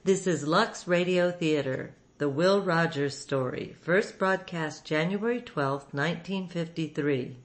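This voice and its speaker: A woman's voice: on the low side, measured pace, hardly any pauses. A woman giving a scripted introduction as the host of a show. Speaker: podcast host.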